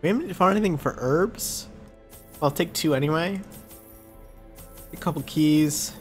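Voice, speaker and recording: A man's voice: a little high, unhurried, soft background music. Man with a cold, casual game commentary. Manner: relaxed, thinking aloud with fillers. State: congested from a cold. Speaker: let's play host.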